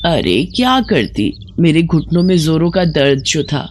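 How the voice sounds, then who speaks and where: A narrator voicing a gentle, forgiving elderly village mother-in-law with patient, soothing speech in an animated moral story. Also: mid-range voice, unhurried, slight background noise. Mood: apologetic, gentle.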